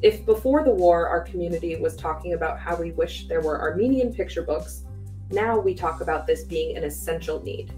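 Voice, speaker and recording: Woman presenter on a book panel, measured, lowish voice, light music under. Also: mellow. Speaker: publishing staff presenter. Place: bookshelf studio set, indoors.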